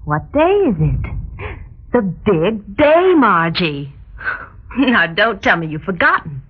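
Husky, lively bubbly neighbor lady. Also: on the low side, slow, full character voice. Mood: excited, coaxing. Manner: chirpy, coaxing. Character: bubbly, pushy, well-meaning married next-door neighbor. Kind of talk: old-time radio drama acting. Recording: tinny, band-limited old radio recording.

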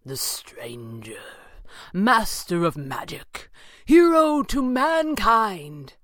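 A man's voice: high-pitched, wildly swooping pitch, drawn-out words, a bit bright, dry recording, studio quality, voice pushed up, full character voice. Man acting a sneering, theatrical, power-mad villain. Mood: mocking. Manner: mocking, grandiose. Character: menacing, ranting, power-hungry male villain, sneering and theatrical. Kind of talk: dramatised pulp adventure audiobook.

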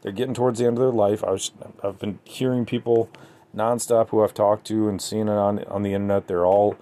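Low, mellow-voiced male podcast host. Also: flat delivery. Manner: conversational, halting, addresses listeners directly. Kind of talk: casual solo podcast commentary.

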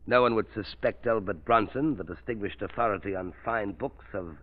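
An actor playing a smug, cultivated spy who poses as a scholar, with a fussy, condescending manner in a 1930s radio drama. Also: male voice, on the low side, normal speed, natural voice. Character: genteel, smug, scheming male spy posing as book scholar. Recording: muffled, tinny 1930s radio recording.

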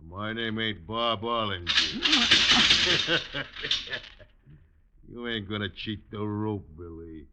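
A man's voice: fairly low pitch, takes it slowly, pauses often, heavy background noise. A man acting a cruel, gloating, mocking American jailer in an old-time radio western. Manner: mocking, cackling. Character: cruel, gloating jailer taunting his prisoner. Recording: muffled old radio recording.

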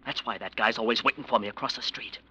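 An actor playing a conflicted, frightened defense lawyer in an old radio crime drama, earnest and halting. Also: male voice, mid-range voice, fairly even intonation, sharp tone, slightly rough. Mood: anguished, fearful. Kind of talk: 1940s-50s radio crime drama acting.

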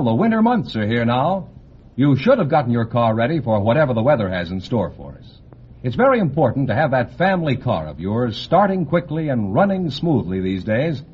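Lively American radio ad announcer, muffled vintage sound. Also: male voice, medium pitch, husky. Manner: polished, salesmanlike. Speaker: commercial announcer. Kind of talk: 1950s radio advertisement read. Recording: muffled old radio recording.

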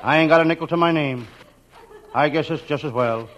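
A man playing a wisecracking, down-on-his-luck private detective in a 1940s radio comedy, with deadpan, slangy delivery. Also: on the high side, a little fast, natural voice. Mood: deadpan, wry. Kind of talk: 1940s radio comedy acting, scripted first-person narration. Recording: muffled, very noisy old radio recording.

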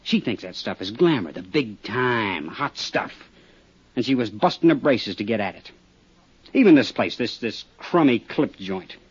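A tough American clip-joint owner, husky and slangy, always on the defensive. His delivery swings up and down with lively streetwise bite. The sound is a dull vintage radio drama recording. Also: lowish voice, unhurried. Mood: scornful. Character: tough, cagey small-town roadhouse owner, wisecracking hustler. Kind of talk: 1950s radio drama acting.

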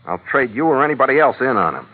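An actor playing a hard-boiled, suspicious ship's skipper in old-time radio noir style, terse and sardonic. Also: male voice, medium pitch, big pitch swings, quick pace. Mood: accusing. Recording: tinny vintage radio recording, faint music.

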